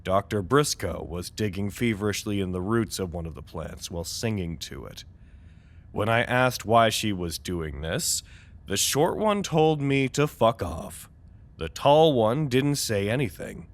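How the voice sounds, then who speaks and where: An actor playing an anxious, weary site director in an audio drama, dictating reports in a wordy, formal, dryly exasperated manner. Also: male voice, on the low side, expressive, a little slow. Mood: dry, resigned. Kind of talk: audio drama acting, dictated log monologue.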